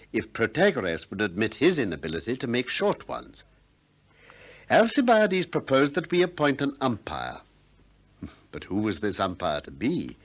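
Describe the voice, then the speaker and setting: A shrewd British philosopher, animated. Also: male voice, fairly low pitch, heavily acted. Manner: probing, courteous, measured questioning. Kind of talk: 1960s British radio drama acting.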